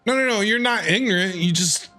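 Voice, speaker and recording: A male game streamer with a high, bright voice gives casual live reactions. He is excitable, repeating his phrases as he talks back to the game, with faint music behind him. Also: a little fast.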